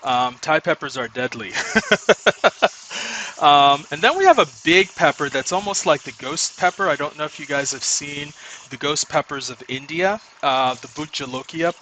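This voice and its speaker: A man's voice: a little high, expressive, normal speed, bright, forceful tone, a little hiss or hum, poor audio. A young man from Nigeria, chatting casually as he cooks in his home kitchen and explains each step. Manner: chatty, explains while working. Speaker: home cook. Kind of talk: casual cooking video.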